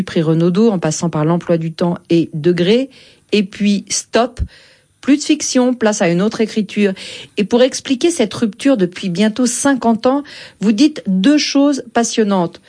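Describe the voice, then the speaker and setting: A woman interviewer asking formal questions in a measured, polite, carefully phrased way. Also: medium pitch, dark tone.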